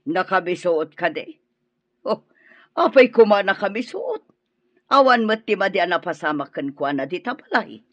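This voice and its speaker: A woman's voice: mid-range voice, big pitch swings, normal speed, a bit bright, no room sound, lo-fi sound. Bossy, wildly swooping Ilocano mother-in-law. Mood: scornful, bossy. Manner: scolding, demanding. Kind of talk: radio drama acting.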